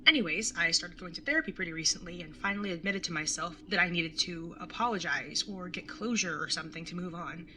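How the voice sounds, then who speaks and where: Woman playing sardonic young artist, slightly low bright voice, wry, jaded, candid audio drama narrator. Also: quick pace, hardly any pauses, a little hiss or hum. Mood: regretful, candid. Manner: sardonic, casually sweary. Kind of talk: first-person audio drama narration and dialogue.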